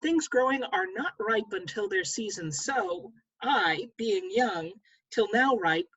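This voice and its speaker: A woman's voice: medium pitch, lively intonation, slow pace, fairly bright tone, breathy edge, highs cut off, heavily acted. A woman playing an ardent, fickle young nobleman in a verse play read over a video call, impassioned and declamatory. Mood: wooing. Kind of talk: stage verse read aloud at a table read.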